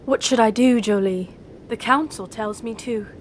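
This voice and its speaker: A woman acting an earnest, conflicted young Jedi in an audio drama, questioning, with clear noise behind her. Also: moderate pace, natural voice. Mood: troubled. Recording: noisy background with rumble.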